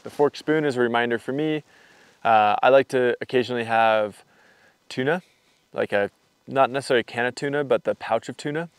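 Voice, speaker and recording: A man's voice: medium pitch, a little fast, clear, resonant tone, no echo. A young man casually walking through his hiking gear on camera, rambling and loose, with lots of fillers. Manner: rambling, filler-heavy, relaxed explaining. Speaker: hiking vlogger. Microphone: camera mic.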